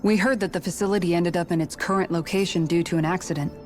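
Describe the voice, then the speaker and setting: An actress playing a composed, inquisitive adventurer in a video game. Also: female voice, on the low side, fairly even intonation, quick pace, soft background music, natural voice. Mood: inquiring. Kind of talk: video game cutscene voice acting.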